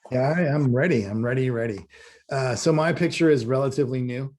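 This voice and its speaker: A man from Massachusetts on the US East Coast giving an informal introduction as a creative director, halting with hesitant pauses. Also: medium pitch, a little mellow. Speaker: company creative director presenting. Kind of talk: informal self-introduction presentation.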